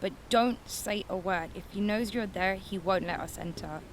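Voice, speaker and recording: A woman's voice: mid-range voice, unhurried, heavy background noise, close to an everyday voice. A woman playing a friendly alien researcher who guides travelers in an audio drama, casual in manner. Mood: friendly, guiding. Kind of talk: English-speaking role in French audio drama.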